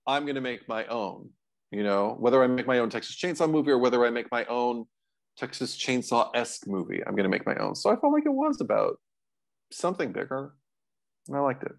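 A man in his forties speaks as a co-host in a relaxed, conversational film discussion. His pitch sits in the middle range. He is talking from a room at home over a video call. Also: brisk, vocal fry. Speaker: podcast co-host discussing horror films.

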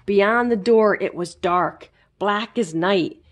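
A woman storyteller reading a children's book aloud expressively, with careful, dramatic emphasis and pauses. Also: medium pitch. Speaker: library storyteller.

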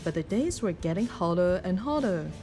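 A woman reading a children's picture book aloud in an expressive, deliberate storytelling manner. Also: fairly low pitch, soft background music. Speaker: storybook reader.

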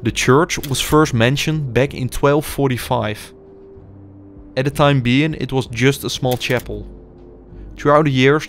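A young man giving measured, scripted documentary narration as an urban explorer. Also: mid-range voice. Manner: measured, explanatory delivery.

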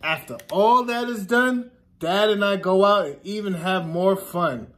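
A man in his thirties reads a picture book to children as their teacher. His voice sits high for a man, and he takes his time. The delivery is deliberate and sing-song, leaning on each repeated word. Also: fairly bright tone. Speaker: teacher reading aloud to children. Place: classroom, indoors.

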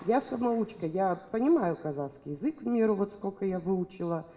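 A woman's voice: mid-range voice, expressive, brisk, very noisy. An older woman, a local resident, earnestly putting a question from the audience at a public meeting. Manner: earnest, pleading. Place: large public hall. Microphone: boom microphone held out. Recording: dull hall reverb.

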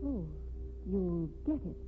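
A woman's voice: lowish voice, lively intonation, takes it slowly. An actress playing an elegant, coolly evasive woman with expensive tastes in a 1950s radio drama. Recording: tinny, noisy 1950s radio recording.

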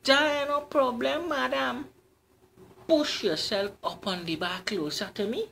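A man's voice: very high voice, a little slow, creaky, sharp tone, a little hiss or hum, voice pushed up, exaggerated voice. A man voicing a flirtatious man who gets terrified, with a wheedling manner. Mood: wooing.

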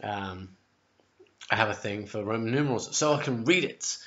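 A man's voice: fairly low pitch, normal speed, fairly bright tone. A man in his forties with a sore throat, chatting casually and rambling to a webcam in his home kitchen, often correcting himself. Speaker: music vlogger. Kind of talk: casual album ranking vlog. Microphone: webcam at close range. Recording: dull lo-fi webcam audio.

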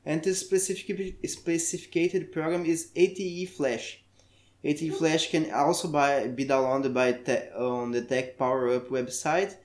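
A man from Brazil with a Brazilian Portuguese accent, a native Portuguese speaker, talking through a software tutorial in halting English and searching for words. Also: fairly high pitch, reverberant. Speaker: tech tutorial maker. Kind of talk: screen-recorded software tutorial.

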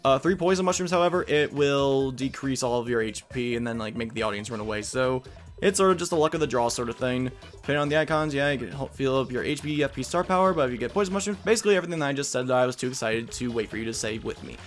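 Man commentating a game with lively pitch. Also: fast talker, faint music behind. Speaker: let's play host.

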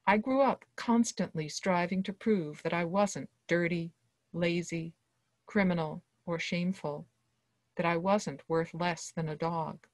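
A woman's voice: on the low side, no echo. A woman in her sixties, a professor and guest reader, presenting and reading a personal monologue aloud over a video call. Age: 60s. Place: small dim wood-walled room indoors.

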